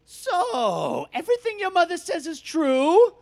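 A woman's voice: very high-pitched, huge pitch swings, unhurried, creaky, darkish tone, heavily acted. A woman voicing a sinister straw man spirit who taunts and cackles. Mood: taunting.

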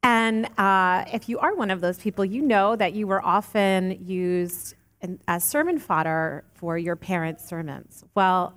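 A woman in her late thirties giving a sermon, earnest and warm with touches of light humor. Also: medium pitch. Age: late 30s. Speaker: lay preacher. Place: church sanctuary. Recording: long church reverb.

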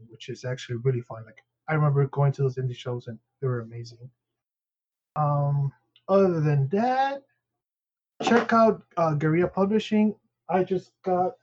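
A man co-hosts a podcast, English not his first language, wandering through plugs and updates with plenty of "um" and restarts. His voice sits a bit high, and the recording sounds dull and lo-fi. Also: darkish tone. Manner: rambling, many fillers and restarts. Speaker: podcast co-host. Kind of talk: casual podcast chat.

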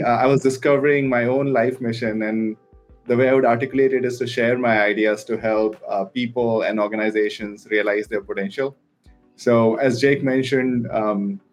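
A man's voice: medium pitch, a little mellow. A man, an HR director, introducing himself earnestly on a panel podcast.

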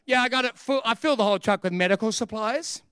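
An Australian man telling a funny personal story in a sermon, chatty and animated, laughing at himself. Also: very high voice, fast, smooth, no room sound, studio quality.

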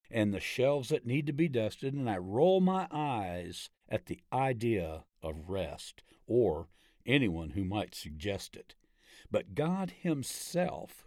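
A low-pitched man narrates a devotional text in a deliberate, measured manner. His pitch moves expressively as he leans on key phrases, and the pace stays very unhurried. The sound is dry and close, like an audiobook. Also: a little mellow, dry recording.

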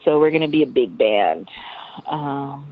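American woman, 30, low voice, effusive slangy interviewee on a narrow phone-like line. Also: lively intonation, a little slow. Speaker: interview guest, musician. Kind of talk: casual chat.

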